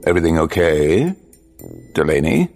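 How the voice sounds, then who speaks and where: An actor playing a cheeky, flippant trickster god in mortal form in an audio drama. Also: male voice, extremely low pitch, very animated, normal speed, darkish tone, faint music behind, exaggerated voice. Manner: flippant, playful.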